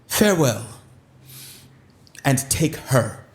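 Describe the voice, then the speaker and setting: An actor playing a proud, lovesick duke in Shakespearean audio drama, speaking verse in a measured, wounded, theatrical way. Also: male voice, medium pitch, very animated. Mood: bitter, menacing.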